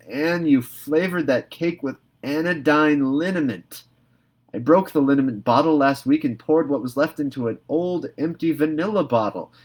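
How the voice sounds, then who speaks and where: A man voicing a stern, sharp-tongued elderly spinster woman in a storybook reading, brisk and scolding. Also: a little high, husky, pitched above the performer's own voice.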